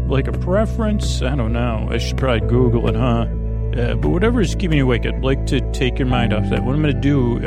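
A man with a creaky voice hosting a bedtime podcast in a meandering, halting, drawn-out monologue that keeps wandering off on tangents. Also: mid-range voice. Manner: hesitant, halting, drawn-out, digressive. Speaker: podcast host.

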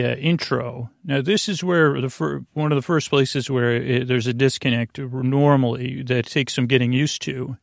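A man with a creaky voice hosting a bedtime podcast in a rambling, halting, lulling monologue that keeps drifting into digressions. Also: mid-range voice, no echo, clean studio sound. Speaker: podcast host.

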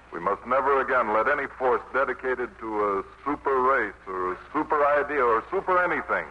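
A steadfast army chaplain acted by a man speaks to soldiers in a plain, earnest, wry way. The voice is low, in 1950s radio drama style. The recording is dull and noisy. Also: lightly acted. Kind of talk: 1950s radio drama acting, informal sermon. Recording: muffled, noisy vintage radio broadcast.

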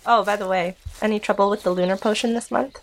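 A woman's voice: medium pitch, fairly even intonation, quick pace, natural voice, rough recording. A woman acting in an audio drama as an earnest, bossy, exasperated young witch. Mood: chatty, exasperated.

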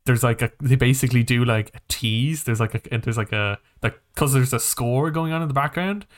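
A man's voice: medium pitch, fairly quick. A man co-hosting a podcast in casual film discussion, with a hesitant, rambling way of talking that often restarts phrases. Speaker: podcast co-host.

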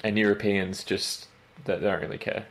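A man's voice: fairly low pitch, little pitch movement, normal speed, a little hiss or hum. A young man born in London and raised in Australia, with an Australian accent with a slight British tinge, chatting casually as a guest, laughing often and hesitating with fillers. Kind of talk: casual interview chat.

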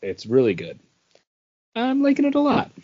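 A man's voice: very high-pitched, wildly swooping pitch, moderate pace, darkish tone, slightly rough, lo-fi sound. A man co-hosting a podcast, talking casually as if reviewing things among friends. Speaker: podcast co-host. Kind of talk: casual chat, reviewing beer.

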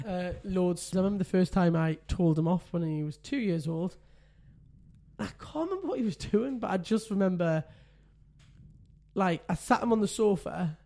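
A man's voice: expressive, moderate pace, a little mellow. A man, a podcast co-host telling a parenting story in casual chat, often laughing. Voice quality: says he has a deep voice.

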